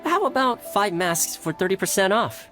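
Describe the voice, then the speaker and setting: A haggling festival shopper. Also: female voice, medium pitch, big pitch swings, moderate pace, a bit bright, soft background music. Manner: haggling, firm. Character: haggling woman customer at a stall. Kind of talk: game dialogue.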